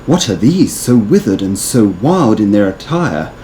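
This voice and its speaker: A man's voice: on the low side, big pitch swings, measured pace, warm tone, slight background noise. A man reading as a cautious general in a storybook, putting wondering questions in old-fashioned verse. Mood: gasping, startled. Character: cautious Scottish general Banquo, questioning, amazed.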